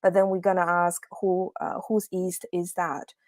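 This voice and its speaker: A young woman from China gives a livestreamed academic talk over a video call. Her voice sits a little low and sounds dry and close. She speaks hesitantly, with many fillers and restarts. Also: little pitch movement, normal speed, darkish tone, no room sound. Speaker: guest speaker, PhD researcher.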